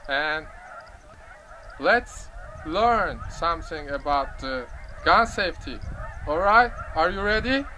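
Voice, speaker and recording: A young man from Turkey with a Turkish accent, speaking halting English outdoors and laughing his way through retakes of a gun-safety demo. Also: on the high side, lively intonation, pushed and bright, slight background noise, low-quality recording. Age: young adult. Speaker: first-time shooter presenting a gun. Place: outdoors in a gravel field by a truck. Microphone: camera mic from a few meters.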